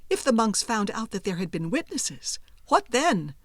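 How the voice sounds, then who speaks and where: A woman voicing a frightened, anxious wife in a storybook reading, breathy and faltering. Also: a little high, big pitch swings, moderate pace, dry recording, clean studio sound, voice pushed up. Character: frightened, shocked young wife, hesitant and anxious.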